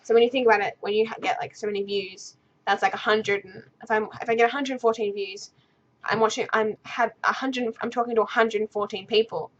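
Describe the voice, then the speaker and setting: A woman's voice: fairly high pitch, fairly even intonation, brisk, dull audio. A young woman vlogger in her bedroom, answering viewer questions in a rambling, stuttering, unscripted way. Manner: stutters and restarts, rambling and easily sidetracked. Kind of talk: casual unscripted talk to camera.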